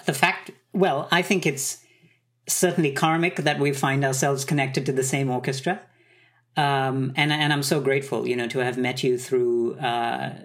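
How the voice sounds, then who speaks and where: Conductor guy from sri lanka, thinks it through, mid pitch, measured answers. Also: a bit bright. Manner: thoughtful, measured, some hesitations. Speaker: conductor, guest. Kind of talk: reflective interview answers.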